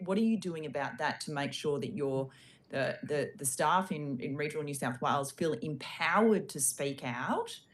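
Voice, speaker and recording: An Australian woman on a committee, questioning a minister in a halting, pressing way full of fillers. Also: deep voice, slight background noise. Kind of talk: parliamentary questioning. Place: parliamentary committee hearing room.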